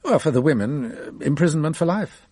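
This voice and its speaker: An actor playing a shrewd, self-assured French detective in a radio drama, musing deliberately with wry amusement. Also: male voice, a little high, lively intonation, warm tone. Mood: wry, satisfied.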